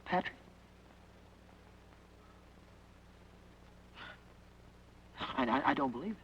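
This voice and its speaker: An actor playing a nervous, jumpy young outlaw in handcuffs, in a 1940s radio drama. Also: male voice, medium pitch, animated, racing, highs cut off, lightly acted. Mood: uneasy. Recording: old radio recording with loud hiss and clicks.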